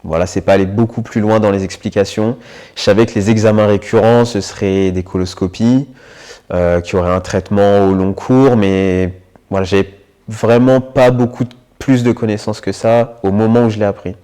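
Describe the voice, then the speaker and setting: A man in his twenties from the Paris region, giving a reflective, hesitant personal testimony in an interview, with frequent filler pauses. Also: lowish voice, fairly even intonation, mellow. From Paris region, France. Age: 20s. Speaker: interviewee sharing his experience with illness.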